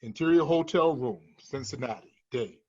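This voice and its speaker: A man's voice: medium pitch, moderate pace, lo-fi sound. A man narrating scripted stage directions at a screenplay table read.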